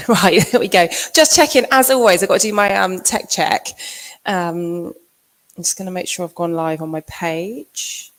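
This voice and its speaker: A middle-aged woman, husky from a cold, talks through a live stream as a fitness coach. Her voice sits low and turns gravelly at the ends of phrases. She chats along with frequent ums. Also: low-quality recording. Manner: chatty, fills pauses with um. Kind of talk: casual livestream talk.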